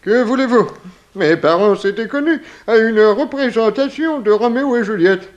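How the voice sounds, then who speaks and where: An actor playing a fussy, pompous, doddering gentleman lodger, stammering and mannered, in a 1960s French radio detective comedy. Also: high-pitched, animated, moderate pace, lo-fi sound. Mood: apologetic, rueful.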